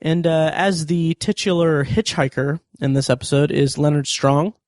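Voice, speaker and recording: A man hosting a review podcast, talking through notes and opinions in a somewhat halting, hesitant way. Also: fairly high pitch, steady tone, no echo, studio quality. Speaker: podcast host.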